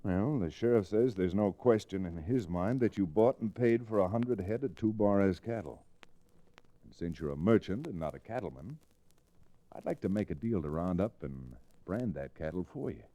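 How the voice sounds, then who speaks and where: An actor playing a confident, educated American Western hero in an old-time radio drama, assured and plain-spoken. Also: male voice, low-pitched, animated, moderate pace, warm tone. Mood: calm, bargaining. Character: confident, educated Western lawyer-rancher hero, shrewd and unflappable. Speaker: radio actor, lead and narrator. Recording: restored vintage radio recording.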